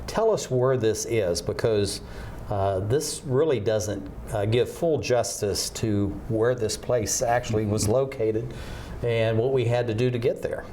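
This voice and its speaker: Low-voiced enthusiastic male TV host. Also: measured pace, mellow, a little hiss or hum. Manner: enthusiastic, lots of fillers. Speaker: TV talk show host. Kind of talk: interviewing a guest.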